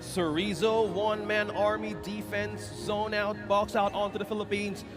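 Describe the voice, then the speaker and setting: A man's voice: high voice, flat delivery, moderate pace, music in the background. A man commentating an esports broadcast, breaking down items and builds in detail. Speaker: esports caster. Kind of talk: analytical play-by-play commentary.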